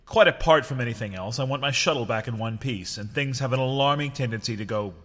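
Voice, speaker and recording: A man playing a gruff, decisive base commander in an audio drama, with a dry, plain-spoken, commanding manner. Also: medium pitch, fast talker, fairly bright tone, natural voice. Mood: gruff, wry.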